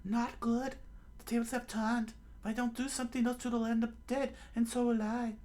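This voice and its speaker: Man doing a fretful young woman in falsetto. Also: level tone, moderate pace, a little mellow, lightly acted. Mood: fearful. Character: anxious, scheming young woman thief.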